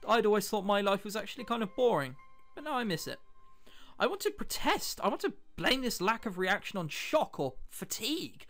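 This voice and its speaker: A man's voice: sits high, a bit bright, exaggerated voice. A man reading the part of a bitter, sardonic teenage boy in a visual novel. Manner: indignant, brooding, sardonic. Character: bitter, sarcastic teenage boy with a heart condition.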